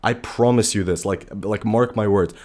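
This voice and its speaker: A young man, a little sick and deeper-voiced than usual, giving blunt, animated dating commentary full of slang. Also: moderate pace. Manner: blunt, animated, slangy, says bro a lot. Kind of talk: casual commentary to camera.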